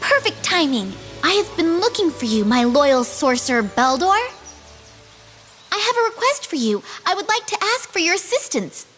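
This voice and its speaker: A woman's voice: very high voice, big pitch swings, normal speed, fairly bright tone, faint music behind, full character voice. An actress playing a proud, naive young fairy princess in a video game, girlish and emotive. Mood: haughty. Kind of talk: animated video game acting.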